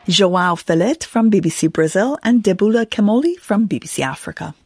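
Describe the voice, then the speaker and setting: A woman hosting a radio interview show, talking lively with her guests and laughing easily. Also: mid-range voice, a little fast. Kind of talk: presenting and interviewing. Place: office at a broadcaster.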